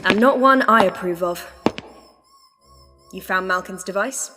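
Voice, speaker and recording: A woman's voice: medium pitch, unhurried, lightly acted. A woman playing a knowing, principled doctor in a science-fiction audio drama, in a long reverberant space with music. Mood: calm, knowing. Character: knowing, principled female doctor who defends alien life.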